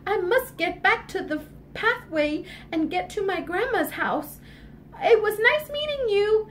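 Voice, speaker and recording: A woman voicing a sweet, eager little girl in a storybook tale, with a high, chirpy childlike voice. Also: lively intonation, measured pace, a bit bright, slight background noise, heavily acted. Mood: polite.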